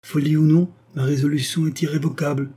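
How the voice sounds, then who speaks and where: A man's voice: a little high, level tone, a little fast, warm tone, husky, voice pushed up. A man voicing a proud vengeful condemned woman. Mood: resolute. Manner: intense, defiant.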